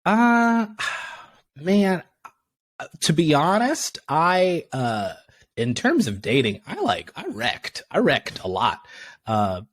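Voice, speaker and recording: A young man from Tanzania, a podcast guest, chatting casually and acting out quotes with sudden jumps in pitch, laughing often. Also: very animated, slow pace, no room sound. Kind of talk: casual interview chat.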